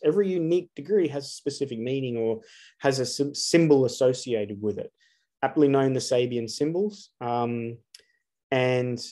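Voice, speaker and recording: A man's voice: medium pitch, warm tone. A man, giving an earnest solo spiritual talk to camera, asking rhetorical questions with emphasis. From Australia. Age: 40s. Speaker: astrologer.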